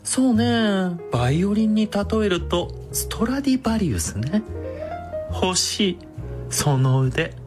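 A man's voice: sits high, sing-song, measured pace, music in the background. A man playing an effeminate, sadistic psychopath villain in a radio drama, with camp, feminine phrasing that savours each word. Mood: gloating, sadistic.

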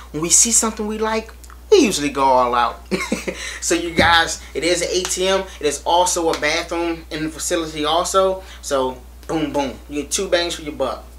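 A young American man vlogging to camera in a small room, hyped and animated, repeating himself as he urges viewers to come. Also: on the high side, slight background noise. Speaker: reptile channel vlogger. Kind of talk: casual to-camera promo vlog. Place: small indoor room against a plain wall.

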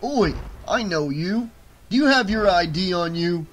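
A man voicing a gruff, stubborn dead bouncer in an audiobook, blunt and rumbling. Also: lively intonation, a little slow, soft background music. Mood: gruff, suspicious.

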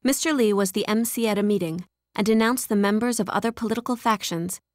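Female documentary narrator, even and informative, close dry booth sound. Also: medium pitch, fast talker, no echo. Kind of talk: scripted narration.

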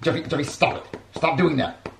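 A man's voice: fairly high pitch, moderate pace, creaky, recorded from a distance, slight background noise. A man voicing an anxious, whiny dad who gets exasperated and repeats himself in a puppet comedy skit. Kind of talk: rehearsed comedy skit with retakes. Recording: echoey room, off mic.

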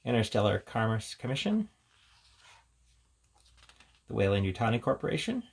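A man's voice: medium pitch, very animated, moderate pace, vocal fry, husky. A man giving an informal, offhand show-and-tell commentary as a reviewer, casually talking through what is in front of him. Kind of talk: casual hands-on book showcase commentary.

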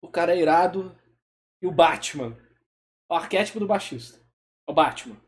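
A man's voice: on the high side, expressive, normal speed. Male streamer, casual live reaction, wry self-deprecating asides.

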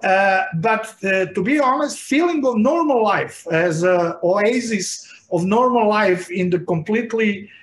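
A middle-aged man, Serbian his first language, speaks in a fairly high voice over a webcam video call. He halts often with filled pauses while he gestures broadly. The room is echoey, with a faint hiss of noise behind him. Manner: hesitant, many filled pauses, animated hand gestures. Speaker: interview guest, medical professor. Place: home room with icons on shelves.

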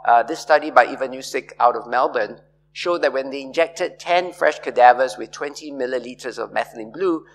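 A middle-aged man speaks into a podium microphone in a large lecture hall. He gives a measured, explanatory conference talk with a gravelly edge and the occasional "uh" filler. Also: mid-range voice, fairly bright tone. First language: not French.

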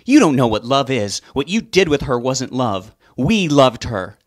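A man's voice: medium pitch, big pitch swings, a little slow, no echo, clean studio sound, voice pushed up. A man voicing a furious grieving mother who screeches spiteful insults.

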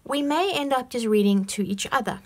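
A woman's voice: sits high, lively intonation, brisk. A middle-aged woman hosting her own vlog, talking chattily into a phone held at arm's length, with wry asides. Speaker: vlog host and author. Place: home room with bookshelves, then a large community hall.

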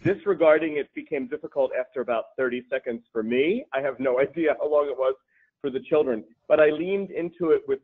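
A man's voice: on the high side, brisk. Man on a tinny phone-like line. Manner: wry, self-deprecating humor. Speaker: high school language teacher. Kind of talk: casual panel discussion. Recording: tinny phone-like video call audio.

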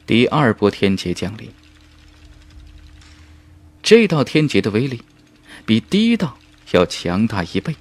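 Low-pitched, animated male audiobook narrator. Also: measured pace, pauses often. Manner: dramatic, emphatic storytelling. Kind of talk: dramatic scripted fantasy novel narration. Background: background music.

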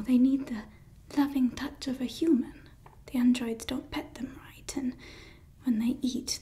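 A woman's voice: on the high side, warm tone, close to an everyday voice. A young woman playing a grandiose evil woman scientist in an ASMR roleplay, whispering theatrically into a close mic in a small room. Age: young adult. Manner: whispered, theatrical, self-important. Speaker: ASMR creator. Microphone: close mic at face level.

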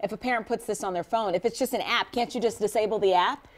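A woman morning news anchor in a TV studio, talking fast and chatty as she leads the segment. Her pitch sits in the middle. A lapel mic keeps her close. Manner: quick, chatty host questions.

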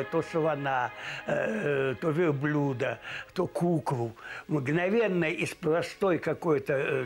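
A man in his seventies reminisces in an interview, effusive and halting as he searches for words. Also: fairly high pitch. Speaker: interviewee recalling a colleague. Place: indoors, book-lined room. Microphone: lapel microphone. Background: music.

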